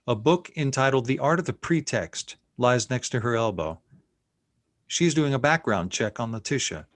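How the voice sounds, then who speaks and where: A man's voice: medium pitch, lively intonation, moderate pace, no room sound. A man reading scene headings and action lines as narrator of a screenplay table read. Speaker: narrator reading stage directions.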